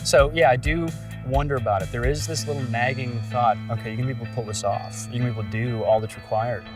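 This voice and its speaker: A man's voice: mid-range voice, steady tone, fast talker. A man in his forties from the Bahamas, an ordained minister, speaking candidly and reflectively in an interview. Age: 40s. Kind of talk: interview testimony. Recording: distant mic with music bed.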